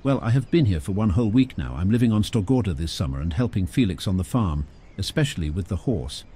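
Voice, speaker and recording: A man's voice: deep voice, expressive, fast, slight background noise. A man voicing a cheerful, curious young girl who helps out on a farm, talking eagerly. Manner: eager, bright, upbeat.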